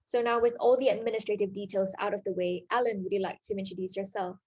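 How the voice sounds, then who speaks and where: A woman's voice: medium pitch, steady tone, fast, darkish tone, husky. Woman moderating a panel politely. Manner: polite, formal announcements. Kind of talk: scripted event moderation.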